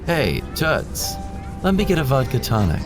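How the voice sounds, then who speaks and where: A sleazy passenger leers and flirts with smug confidence in an audio drama, played by a man. His voice is lively and mid-pitched. Music plays behind him. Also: measured pace. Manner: smug, leering.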